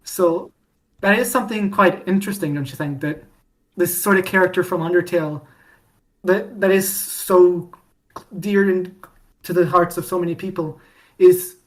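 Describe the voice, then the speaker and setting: A woman co-hosting a podcast, chatting casually about fandom in an animated storytelling way with mock-dramatic emphasis. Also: on the low side, normal speed, long pauses, rough recording. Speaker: podcast co-host.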